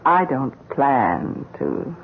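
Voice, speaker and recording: Scheming housekeeper, muffled old radio. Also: female voice, rumbling low, big pitch swings, drawn-out words, vocal fry, heavy background noise, exaggerated voice. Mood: sly menace. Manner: formal, insinuating, coolly assured. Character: scheming, coldly confident housekeeper blackmailing her employer. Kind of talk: radio mystery drama acting.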